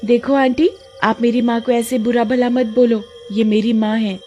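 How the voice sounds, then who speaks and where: A woman voicing an earnest young village girl who speaks in a high, pleading voice. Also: level tone, moderate pace, warm tone, faint music behind, close to an everyday voice. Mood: protective.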